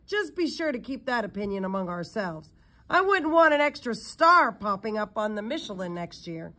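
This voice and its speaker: A woman's voice: mid-range voice, sing-song, moderate pace, pushed and bright. A woman voicing a chummy, wry British man in a storybook, confiding and playful as he explains things. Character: chummy, wry British man showing off his secret-equipped hotel.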